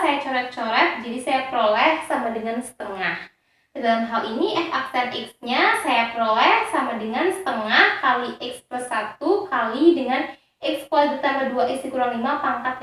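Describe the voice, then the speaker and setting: The woman you would hear in a bare, ringing classroom in Bali, patiently walking pupils through each step in a lightly high voice. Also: fairly bright tone. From Indonesia. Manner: didactic, checks in with frequent tag words. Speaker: high school math teacher. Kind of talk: step-by-step lesson explanation. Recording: very echoey, reverberant room.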